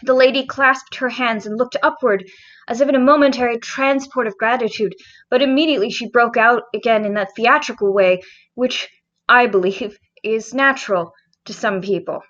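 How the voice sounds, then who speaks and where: High-pitched woman narrating gothic fiction. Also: moderate pace, a bit bright, dull audio. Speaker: audiobook narrator.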